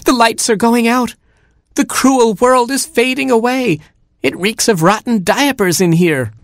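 Squeaky, melodramatic little gerbil. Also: male voice, unhurried, no echo. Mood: panicked, dramatic. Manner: melodramatic complaining. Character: whiny, dramatic young gerbil child, squeaky and fretful.

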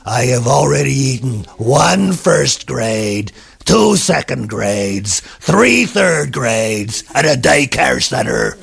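A big lazy dragon brags in a low, dragging drawl, as a storyteller puts him on. Each boast stretches out slowly, in a storybook telling with a lively swing in pitch. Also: gravelly, husky, heavily acted. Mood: boastful drawl.

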